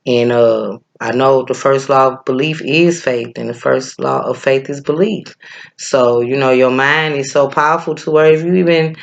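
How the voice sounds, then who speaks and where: A man with a Midwestern accent talks through breathing techniques in a loose, chatty way. His voice sits at a middle pitch with a gravelly edge. He drifts off in thought and fills his pauses with "um" and "uh". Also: steady tone, muffled recording. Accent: Midwestern American. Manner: laid-back and chatty, stops often with fillers to search for a name. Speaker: breathwork and yoga teacher. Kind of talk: casual spiritual teaching, rambling.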